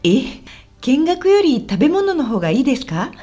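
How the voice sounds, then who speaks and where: A woman playing a friendly, helpful local guide in a scripted language-lesson radio drama, explaining politely and clearly. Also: high-pitched, big pitch swings, normal speed, dark tone, soft background music. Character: friendly, helpful woman guiding a visitor around Osaka.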